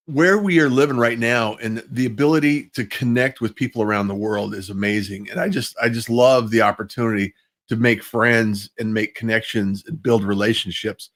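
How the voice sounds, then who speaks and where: A man hosting a casual, chatty live interview online, talking in a rambling, appreciative way. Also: medium pitch. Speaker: host of a livestream interview show. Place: at home, live online video call. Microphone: small personal microphone.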